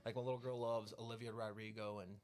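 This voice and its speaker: Southern guy, quick rambling podcast guest, lots of fillers and cursing. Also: fairly low pitch, level tone, a little mellow. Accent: Southern American. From American South. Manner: fast, rambling, heavy on fillers and swearing. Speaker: country singer, podcast guest. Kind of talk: casual podcast chat.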